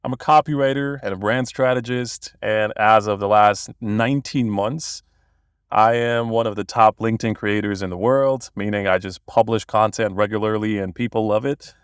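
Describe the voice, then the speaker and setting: Guest, young Bosnian man, deeper voice, keen storyteller, dry close mic. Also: normal speed, clear, resonant tone, dry recording. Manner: enthusiastic, storytelling. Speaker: guest, copywriter. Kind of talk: podcast interview.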